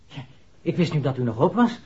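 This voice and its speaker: An actor playing a deferential, stammering household servant in a 1960s radio play, apologetic and husky. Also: male voice, a little high, big pitch swings, fairly quick, a little mellow, exaggerated voice. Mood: apologetic, hesitant. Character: deferential, hesitant but chirpy male household servant. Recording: dull, muffled 1960s radio recording.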